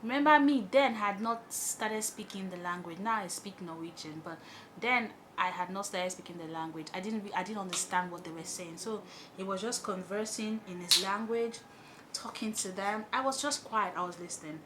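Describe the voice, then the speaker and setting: Nigerian woman vlogging, lively storytelling, bit of faint noise in the back. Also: mid-range voice, moderate pace. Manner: animated, exclaiming, chatty storytelling. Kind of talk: storytime while doing makeup.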